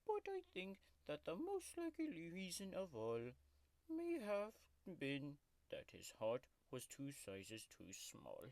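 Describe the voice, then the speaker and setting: A woman's voice: on the low side, huge pitch swings, takes it slowly, darkish tone, no room sound, full character voice. A woman voicing a grumpy, foul-mouthed male tiger-like creature who whispers and mocks while reading a bedtime story as comedic ASMR. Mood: mocking storytelling. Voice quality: whispery, crude and mocking.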